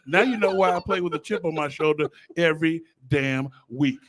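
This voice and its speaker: Argumentative American man, slightly high voice. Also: slight background noise. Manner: argumentative, interrupting, halting repeats. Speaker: former pro football player and panelist. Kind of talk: heated sports-panel banter.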